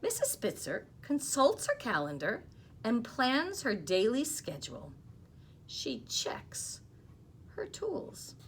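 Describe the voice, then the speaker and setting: A woman in her fifties reads a picture book aloud to children, expressively and unhurriedly, with soft explaining asides. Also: a little high, huge pitch swings, slow. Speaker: school storytime reader. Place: small office, indoors. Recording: clearly noisy small-room recording.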